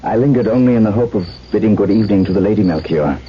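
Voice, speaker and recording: An actor playing an earnest young gentleman suitor in a 1970s radio drama, formal, measured and romantic. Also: on the low side, lightly acted. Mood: courteous, grave. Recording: muffled, noisy 1970s radio broadcast.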